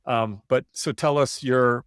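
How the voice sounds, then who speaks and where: A man's voice: mid-range voice, fairly even intonation, slow, clean tone, dry recording, clean studio sound. A man hosting a startup podcast, reading a polished intro and then chatting casually with his guest. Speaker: podcast host. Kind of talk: scripted intro then casual interview chat.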